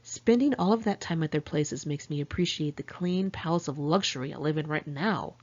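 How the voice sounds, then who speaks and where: A woman's voice: low-pitched, moderate pace. A woman reading her own first-person memoir aloud as an audiobook, in a candid, conversational, confessional manner. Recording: dull lo-fi home recording.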